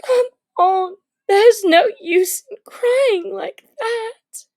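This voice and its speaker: A woman's voice: very high-pitched, extremely slow, a little mellow, voice pushed up, full character voice. A woman voicing a curious, earnest young girl who talks to herself in exclamations in a children's storybook. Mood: sharp scolding. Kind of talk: expressive children's storybook character reading.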